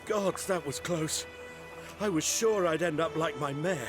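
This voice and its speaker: Actor as higher-voiced, humble village merchant. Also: male voice, a little slow, close to an everyday voice. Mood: shaken, wary. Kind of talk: video game voice acting. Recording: loud music behind.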